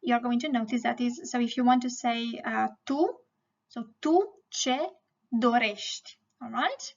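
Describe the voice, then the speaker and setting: A woman's voice: fairly high pitch, highs cut off. A woman teaching a language lesson, explaining didactically and often checking in with the learner.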